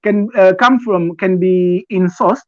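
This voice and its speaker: A man from Zambia with a Zambian accent, giving conversational advice as a podcast co-host, explaining deliberately and haltingly with repeated phrases. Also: sits high, no echo, muffled recording. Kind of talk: conversational advice talk with personal examples.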